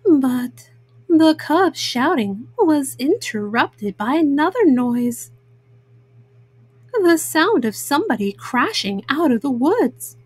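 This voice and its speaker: A woman's voice: high-pitched, sing-song, slow. A woman storyteller narrating a children's storybook.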